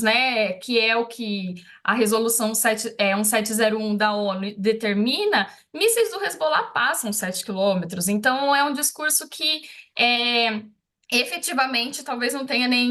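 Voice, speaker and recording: A Brazilian woman speaks as an expert on a news program, in a fairly high voice. She explains and analyzes off the cuff, often adding small tags to check that she is being followed. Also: sharp tone. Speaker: expert commentator interviewed on a news show. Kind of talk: spontaneous analytical news commentary.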